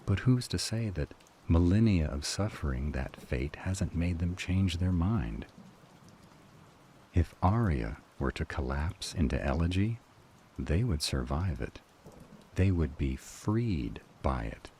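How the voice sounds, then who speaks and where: An actor playing a grave, cryptic male counselor in a fantasy audio drama, speaking in a measured, formal and ominous manner. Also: low-pitched, animated, mellow, slight background noise.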